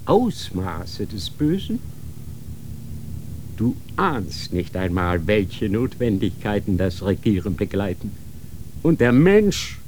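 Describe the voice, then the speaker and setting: A stern lecturing inquisitor. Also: male voice, medium pitch, a little mellow, a little hiss or hum. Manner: lecturing, severe, rhetorical questions. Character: stern, cold inquisitor man, worldly. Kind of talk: radio drama acting.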